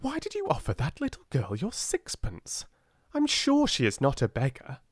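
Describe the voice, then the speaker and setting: A man's voice: medium pitch, wildly swooping pitch, moderate pace, slightly rough, no room sound, clean studio sound, voice pushed up. Man voicing an anxious British rich girl. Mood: anxious, excited. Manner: alarmed, chattering. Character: well-to-do young sisters, anxious and excitable.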